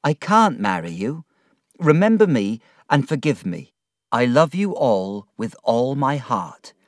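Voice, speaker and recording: A man voicing a remorseful young woman's farewell letter, earnest, pleading and measured, in a told story. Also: mid-range voice, very animated, no room sound, clean studio sound, pitched above the performer's own voice. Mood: sorrowful, pleading.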